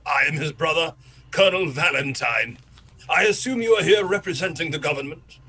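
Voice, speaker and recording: A man's voice: on the high side, animated, fairly quick, pushed and bright, exaggerated voice. A man acting a grieving colonel of fifty in a radio drama, courteous but curt, with stiff formality. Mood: grave, strained. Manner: stiff, formal, clipped politeness. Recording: clear background noise.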